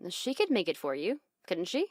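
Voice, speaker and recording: A woman voicing a playful, teasing high-school girl in a visual novel game. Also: huge pitch swings, normal speed, a little mellow. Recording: clear music behind the voice.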